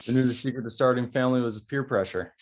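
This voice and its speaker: A man's voice: mid-range voice, fast, natural voice. A man playing an awkward, earnest expectant father in a short film table read over video call.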